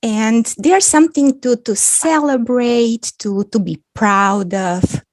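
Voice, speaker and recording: A woman in her fifties from Romania, speaking with a Romanian accent as a guest on a video-call chat, thoughtful and quick to laugh. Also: fairly high pitch, no room sound. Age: 50s. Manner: slow, thoughtful, laughs often. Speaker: guest author. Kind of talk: casual video-call interview.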